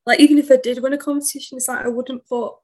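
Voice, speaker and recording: A woman poet being interviewed in a casual chat, shy and soft-spoken, rambling a little as she talks. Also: sits high, flat delivery, quick pace. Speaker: poet guest.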